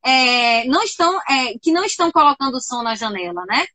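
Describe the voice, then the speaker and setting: A woman's voice: high voice, big pitch swings, a little fast, pushed and bright, no room sound. A woman hosting a live radio talk show, passing questions to her guests. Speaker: radio host.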